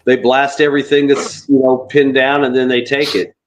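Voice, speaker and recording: Man explaining casually, medium pitch. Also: flat delivery, normal speed, a little hiss or hum, poor audio. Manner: loose, searching for words. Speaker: panel participant in live open mic. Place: remote video call.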